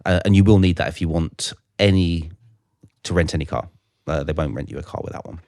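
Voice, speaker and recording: A middle-aged British man co-hosting a casual podcast, explaining things in a halting way. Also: deep voice, darkish tone, no echo, studio quality. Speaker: podcast co-host.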